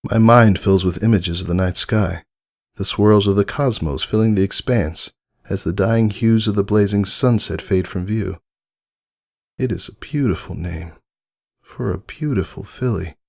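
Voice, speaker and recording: A man voicing a wistful, devoted old stitched toy, narrating a dramatic fan fiction story in an earnest, measured way. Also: fairly low pitch, vocal fry, a little mellow. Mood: hopeful, tender. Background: music under the voice.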